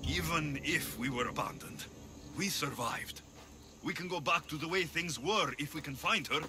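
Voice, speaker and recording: A man's voice: fairly high pitch, moderate pace, over music, close to an everyday voice. A man playing a young Greek mercenary in a video game, earnest and defiant, pleading for his family. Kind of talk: video game dramatic acting.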